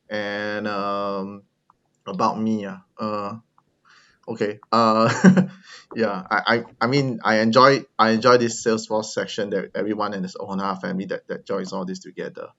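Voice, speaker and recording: A man's voice: lowish voice, flat delivery, moderate pace, a bit bright. A man hosts an online meetup over a video call, working through the attendee list. He talks casually and hesitantly, full of fillers and restarts. The audio is dull and lo-fi. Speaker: meetup host.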